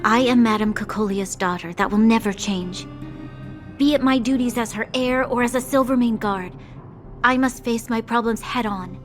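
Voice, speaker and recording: A woman's voice: fairly high pitch, normal speed, soft background music, natural voice. A woman voicing an earnest, dutiful young noblewoman and officer in a video game, speaking formally and solemnly. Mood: solemn, resolute. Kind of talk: dramatic video game voice acting.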